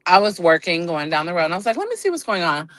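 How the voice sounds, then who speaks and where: A woman's voice: lowish voice, big pitch swings, quick pace, fairly bright tone, dry recording. Sassy woman calling in from a truck stop. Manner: sassy, calls people girl and honey. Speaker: guest on a livestream. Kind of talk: casual chat. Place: at a truck stop, on the road for work.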